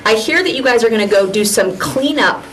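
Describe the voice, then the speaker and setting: A woman's voice: a little high, lively intonation, a little fast, a bit bright, a little hiss or hum, poor audio. Playful woman hosting a local talk show. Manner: playful, teasing, jokes with kids. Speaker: host. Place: small cable TV studio.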